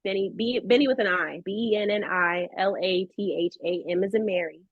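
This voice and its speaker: American female interview guest, mid-pitched, expressive casual chat, dry but slightly muffled audio. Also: fairly quick, no echo.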